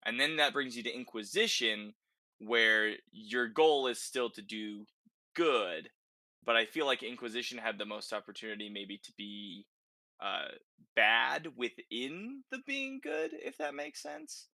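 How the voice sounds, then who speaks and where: Very bright-voiced man chatting casually about games. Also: mid-range voice, animated, a little slow, vocal fry, no echo. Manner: conversational, checks in with tag questions. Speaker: podcast host. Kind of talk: casual video game discussion.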